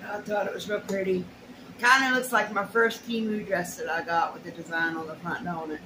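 Middle-aged woman for a casual home haul vlog; folksy, rambling delivery, medium pitch, echoey noisy room. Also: steady tone, fairly quick. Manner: folksy, rambling, muttering asides. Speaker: haul vlogger. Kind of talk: casual unboxing chat. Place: small bare room at home. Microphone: camera or phone a short distance away. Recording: roomy echo, clear background noise.

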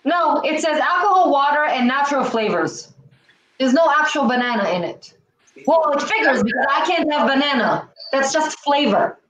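A woman's voice: sits high, lively intonation, normal speed, a bit bright. A middle-aged woman, from Israel, hosting a casual cooking chat on a video call from her home kitchen. She is emphatic and repeats her key points. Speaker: cooking show host. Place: home kitchen, indoors, standing back from the camera. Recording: echoey kitchen, distant mic, faint hiss.

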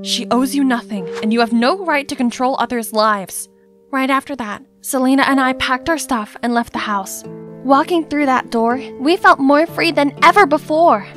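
A young, high-voiced heroine acted by a woman tells her cartoon life story. She is outspoken and theatrical, with music playing behind her. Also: natural voice. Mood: firm. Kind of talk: scripted first-person story narration.

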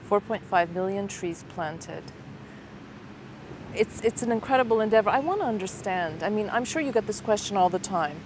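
A middle-aged woman hosting a television interview in a park, asking measured questions. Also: medium pitch, lively intonation, background noise. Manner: measured, warm-up questions with small pauses. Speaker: interview host. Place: outdoors in a city park.

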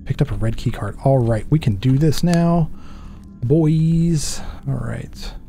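A man in his thirties with a headset, chatting and joking to himself through a horror game, mocking his own mistakes and jumping up in pitch when something startles him. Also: slow pace, vocal fry, dark tone, soft background music. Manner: chatty, self-mocking, jumpy pitch swings. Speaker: gaming channel host. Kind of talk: horror game let's play commentary. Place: small dark room at a desk. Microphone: headset with desk mic.

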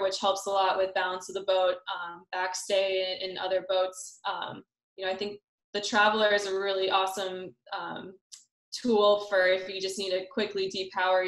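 A woman's voice: mid-range voice, a bit bright. Young woman presenting, roomy echo. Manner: casual, many fillers. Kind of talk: online sailing seminar. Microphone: video call. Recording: roomy echo on call audio.

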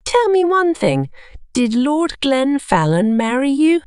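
A woman voicing a menacing blind woman, a jealous wife, in a deliberate, threatening manner for a story. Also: high voice, very animated, a little mellow, dry recording, clean studio sound, voice pushed up, heavily acted. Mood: coldly threatening.

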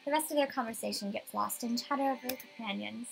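An adult woman reading expressive first-person narration from a visual novel script. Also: on the high side, quick pace, creaky, a little hiss or hum, poor audio. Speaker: player voicing a visual novel.

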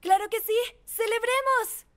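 A woman voicing an excitable, boastful talking owl who raps in a children's cartoon, theatrical and exclaiming. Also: very high voice, unhurried. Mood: joyful. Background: loud music.

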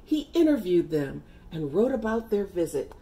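A middle-aged woman reading a children's picture book aloud with deliberate, emphatic, dramatic phrasing. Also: lowish voice, very animated, dark tone, low-quality recording. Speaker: read-aloud storyteller.

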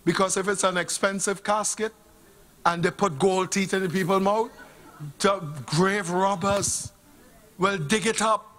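Impassioned Vincentian pastor preaching, slow. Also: male voice, high-pitched, steady tone. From Saint Vincent and the Grenadines. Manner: impassioned, swings from hushed to exclaiming. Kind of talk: sermon. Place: church sanctuary stage. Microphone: handheld microphone.

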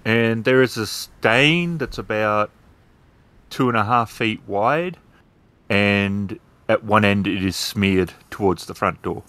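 Low, dry-sounding male game master. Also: slow, fairly bright tone, no echo. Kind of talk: descriptive tabletop game narration.

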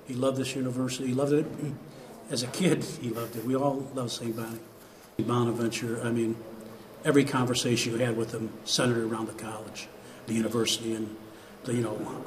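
A man in his sixties with an American accent, speaking reflectively in an interview in a large room. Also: medium pitch. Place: large indoor hall with chairs. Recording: background noise, room ambience.

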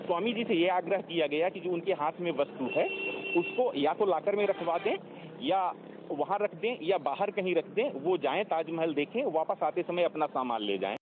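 A middle-aged man giving a formal, measured official statement, mixing in some English words. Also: on the high side, expressive. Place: outdoors at a monument walkway. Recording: tinny narrow sound, faint outdoor noise.

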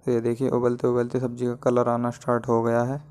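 A man's voice: mid-range voice, monotone, fairly quick, darkish tone, clear, resonant tone. A man presenting a recipe as a home cook, explaining it step by step in a plain instructional voice-over. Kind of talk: step-by-step cooking tutorial voice-over.

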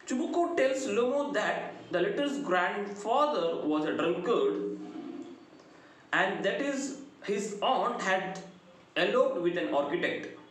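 Very echoey South Indian man lecturing slowly. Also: high-pitched, animated. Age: middle-aged. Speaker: English lecturer. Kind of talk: lecture retelling a play. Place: small bright indoor room. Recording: very echoey room, faint background noise.

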